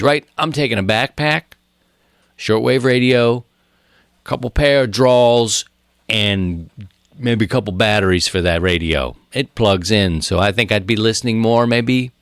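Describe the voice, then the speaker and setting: A man's voice: fairly low pitch, dry recording, studio quality. A man in his thirties hosting a podcast in casual, rambling talk, often repeating phrases for emphasis. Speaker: podcast host.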